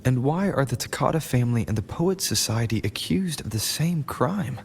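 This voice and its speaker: An actor playing a calm male traveller in a video game, musing in a measured, thoughtful way. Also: mid-range voice, creaky, slight background noise. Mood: puzzled. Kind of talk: video game voice acting, reflective monologue.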